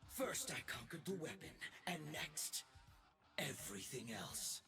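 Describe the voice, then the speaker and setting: An actor playing a gloating, unhinged young space emperor in a video game, grandiose and arrogant, swinging between shouts and hushed menace. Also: male voice, on the high side, very animated, a little slow, recorded from a distance, faint music behind, heavily acted. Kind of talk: theatrical video game voice acting.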